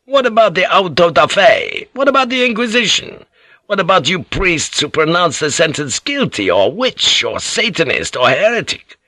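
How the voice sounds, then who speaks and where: A man's voice: medium pitch, a bit bright, dry recording, pitched above the performer's own voice, natural voice. A man voicing a cocky, hot-blooded ship's pilot, vehement and blustering, in a seafaring audiobook story.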